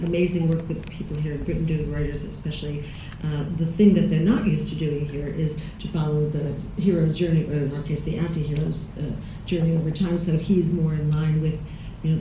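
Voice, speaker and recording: A woman's voice: deep voice, dark tone, slight background noise. A woman with a Chicago accent answering questions on a panel, emphatic and repeating words for stress. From Chicago, USA. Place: large screening hall. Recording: echoey hall recorded from far off.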